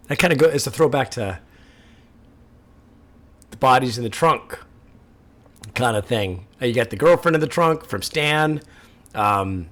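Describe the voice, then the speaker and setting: Man with a raspy sore throat, reacting to music. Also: mid-range voice, a bit bright. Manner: chatty, laughs often, pauses the track to comment. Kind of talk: casual reaction commentary.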